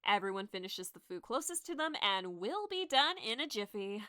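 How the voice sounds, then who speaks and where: A woman's voice: medium pitch, big pitch swings, moderate pace, bright, forceful tone, dry recording, clean studio sound. A woman voicing a breezy, sly and sarcastic contestant in a cartoon. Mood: breezy, persuasive. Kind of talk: cartoon character dialogue.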